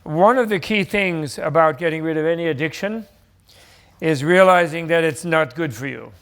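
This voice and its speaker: A man's voice: fairly high pitch, normal speed, fairly bright tone. An older man giving a conversational teaching talk on stage, rambling animatedly through explanations and correcting himself mid-sentence. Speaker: panel speaker on Buddhist teachings. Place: live event stage with audience.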